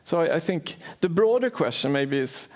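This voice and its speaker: A man's voice: a little high, expressive, moderate pace. A man from Sweden, speaking as an economist on a panel discussion, with many hesitations and restarts.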